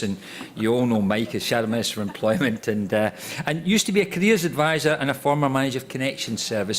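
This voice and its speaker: Joking Scottish man chairing a panel. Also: mid-range voice. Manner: wry, teasing, self-deprecating. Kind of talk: jokey conference introduction. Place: conference room.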